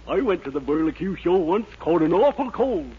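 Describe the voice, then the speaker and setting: An actor playing a dawdling, daffy, chatty rural station agent in a 1940s radio comedy. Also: male voice, fairly high pitch, big pitch swings, moderate pace, husky, exaggerated voice. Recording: noisy, dull 1940s radio recording.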